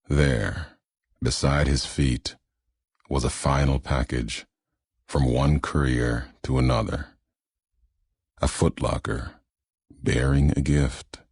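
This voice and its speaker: A man playing a grim, vengeful wasteland courier in a video game, speaking solemnly in terse, cryptic pronouncements with a gravelly, raspy voice. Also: extremely low pitch, a little mellow, no echo, studio quality, full character voice. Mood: solemn, narrating. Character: grim, vengeful male wasteland courier, brooding philosophical antagonist. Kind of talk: video game character dialogue.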